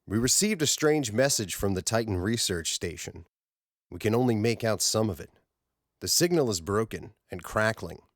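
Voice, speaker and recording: A man's voice: on the low side, lively intonation, normal speed, dry recording, clean studio sound, pitched above the performer's own voice, natural voice. A man voicing a worried, frustrated male colleague on a space station in a science-fiction audiobook, earnest and urgent. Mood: frustrated, concerned.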